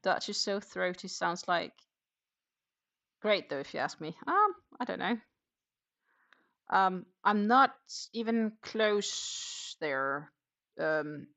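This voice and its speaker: Woman, casual livestream host, unhurried slow speech, heavy creak, halting self-talk, self-deprecating. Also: on the low side, a bit bright. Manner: halting, muttering to herself, self-deprecating, swears freely. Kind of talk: casual livestream chat while knitting.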